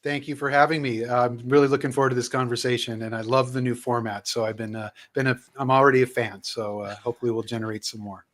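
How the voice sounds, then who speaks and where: A man's voice: mid-range voice, flat delivery, fast, few pauses, fairly bright tone. A man in his fifties who grew up in Southern California, a guest historian answering questions with lots of fillers. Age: 50s. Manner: hesitant, with many fillers. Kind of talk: conversational interview answers.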